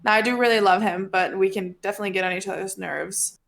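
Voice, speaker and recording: A woman's voice: medium pitch, fairly even intonation, fast, creaky, a bit bright. A woman in her twenties from Norway, a native Norwegian speaker, chatting casually and candidly as a podcast co-host. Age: 20s. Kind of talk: casual chat.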